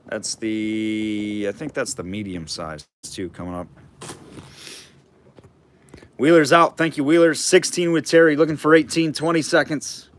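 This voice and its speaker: A man running a live online auction, calling bids and chatting loosely about the items, his throat getting hoarse. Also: mid-range voice, fairly even intonation, normal speed, few pauses, slight background noise. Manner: chatty, rambling asides between bid calls.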